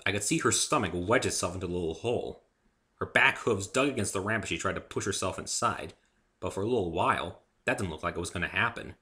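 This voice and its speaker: A man's voice: sits low, fast talker, sharp tone. A man reading a first-person story aloud as a dramatic narrator.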